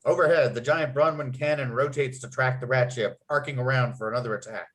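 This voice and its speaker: A man's voice: mid-range voice, steady tone, brisk, a bit bright, low-quality recording. A man reading script stage directions aloud as narrator, with clipped, dramatic scene headings. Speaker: narrator and writer.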